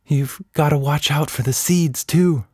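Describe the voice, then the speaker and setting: A man voicing a shy, lonely young girl in a children's story, gentle, curious and tentative. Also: fairly high pitch, unhurried, no echo, clean studio sound, pitched above the performer's own voice. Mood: earnest.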